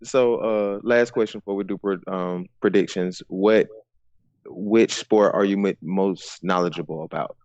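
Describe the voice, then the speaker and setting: A man's voice: deep voice, steady tone, a little slow, darkish tone, no echo, highs cut off. A man from Georgia, hosting a podcast in casual, teasing chat and often repeating himself. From Decatur, Georgia, United States. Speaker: podcast host.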